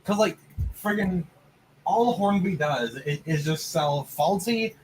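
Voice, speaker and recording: A man chatting casually, halting and repeating himself as he goes. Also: sits high, animated. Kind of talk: casual chat. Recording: noisy voice chat.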